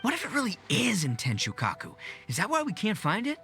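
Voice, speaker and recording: A man's voice: sits high, wildly swooping pitch, a little fast, pushed and bright, soft background music, heavily acted. A man voicing a boisterous, cocky but good-natured young gang boss in a video game, with slangy, swaggering talk. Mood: disappointed.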